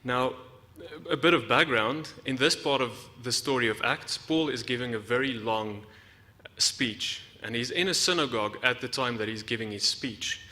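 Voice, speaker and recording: A man from South Africa giving a prepared academic lecture, explaining in a measured way with deliberate emphasis. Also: mid-range voice, pushed and bright. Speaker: academic researcher giving a talk. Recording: reverberant hall.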